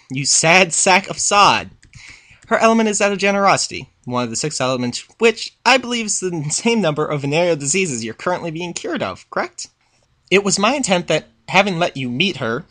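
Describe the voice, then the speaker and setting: Man voicing a pompous, animated royal pony princess. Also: fairly high pitch. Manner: pompous, scathing, elaborate formal diction. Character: haughty, exasperated royal pony princess, scolding her wastrel nephew. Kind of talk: dramatic reading of a fan fiction letter.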